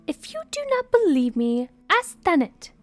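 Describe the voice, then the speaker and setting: A woman voicing a pouty, pushy young female student in a story, with a loud, insistent, complaining manner. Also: very high voice, very animated, a little slow, pitched above the performer's own voice, heavily acted. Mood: indignant complaint.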